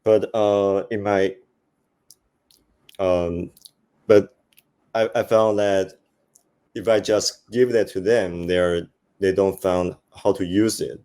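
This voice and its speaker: A man with a Taiwanese accent speaking informally on a panel, halting and hesitant, often repeating words. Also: low voice, steady tone, warm tone, smooth. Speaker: conference panelist, investor.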